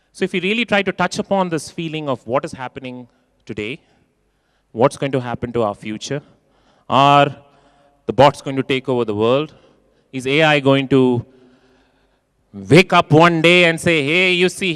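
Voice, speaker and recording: A man giving a keynote talk in a large conference hall, expressive and acting out quoted voices, repeating phrases for emphasis. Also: on the high side, clear, resonant tone, clean studio sound. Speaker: keynote speaker.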